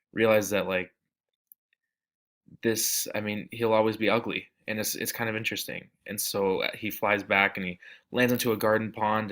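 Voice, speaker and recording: A young man with a deep, low voice talks through a class presentation on his computer. He rambles loosely, full of ums and restarts. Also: a bit bright. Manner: rambling, many fillers and restarts. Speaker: student giving a class presentation. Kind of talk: casual screen-share class presentation.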